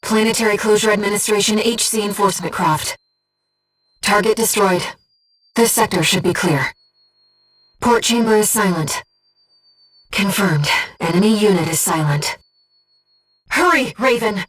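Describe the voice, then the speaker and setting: A woman voicing a gentle, disembodied young female companion in a video game, giving a mercenary pilot composed, earnest and precise tactical reports in a soft, breathy voice. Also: mid-range voice, unhurried, pauses often, far from the mic, low-quality recording. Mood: urgent. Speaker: mission operator companion.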